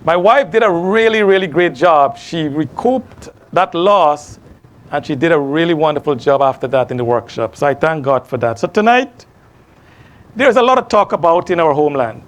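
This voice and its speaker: A middle-aged man with a Guyanese accent hosts a studio program, speaking in a measured way and slowing down for emphatic questions. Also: on the high side, animated. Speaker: host. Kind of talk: scripted monologue to camera. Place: small studio.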